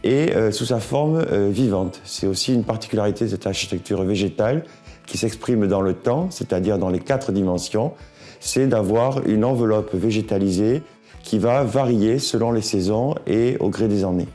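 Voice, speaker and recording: A man presenting a project as an architect, explaining in deliberate, halting phrases. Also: lowish voice, mellow, soft background music.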